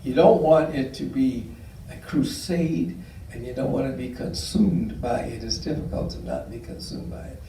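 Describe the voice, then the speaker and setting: Older man telling stories, gravelly and distant. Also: mid-range voice, animated, moderate pace. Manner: warm, rambling storyteller with frequent fillers. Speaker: guest speaker. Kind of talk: public talk with audience questions. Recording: echoey room, distant mic, faint noise.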